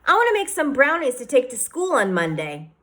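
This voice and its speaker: A woman voicing a secretive, eager young boy who asks in a bright, innocent-sounding way. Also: high-pitched, wildly swooping pitch, a little fast, heavily acted.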